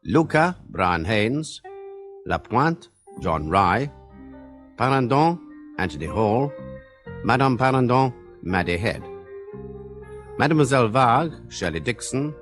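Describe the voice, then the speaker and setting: A man's voice: mid-range voice, sing-song, fairly bright tone. Man reading radio credits over guitar. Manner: slow, formal. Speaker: announcer. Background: guitar music.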